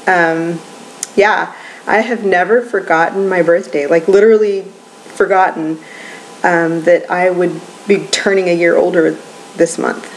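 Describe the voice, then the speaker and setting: A young woman in her twenties talks casually at home, drifting from one thought to the next with frequent ums and soft laughs. Her voice sits a little low for a woman, and a faint hiss runs quietly underneath. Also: steady tone, measured pace, low-quality recording. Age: 20s. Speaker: vlogger. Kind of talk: casual chatty vlog.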